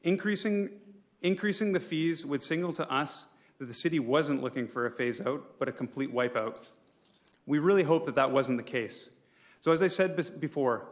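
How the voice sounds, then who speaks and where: Man giving a formal prepared council address. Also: mid-range voice. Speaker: public speaker for a property owners' association at a council meeting. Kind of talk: prepared speech to a city council. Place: public council meeting room.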